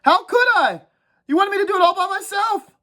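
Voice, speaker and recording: A woman reading a book aloud and voicing a whiny, anxious boy with glasses in a high, complaining voice. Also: animated, brisk, fairly bright tone. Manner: shrill, complaining, frantic. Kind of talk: storybook read-aloud.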